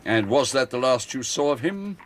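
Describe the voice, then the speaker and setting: A man's voice: mid-range voice, animated, moderate pace, slight background noise, close to an everyday voice. A man playing a self-satisfied, blustering Victorian police inspector in a radio drama, with a British accent and a pompous, boastful, bumbling manner. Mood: official, narrating.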